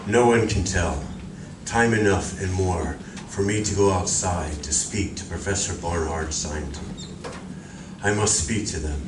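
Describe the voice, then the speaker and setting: Heard from across an echoey room with clear noise, this is a solemn visitor from another world as a man acts him. He speaks formally and gravely, with measured calm, as in a live radio play. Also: low voice. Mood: subdued, explaining. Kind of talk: live stage radio drama acting. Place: library community room, live performance. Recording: roomy distant recording with clear noise.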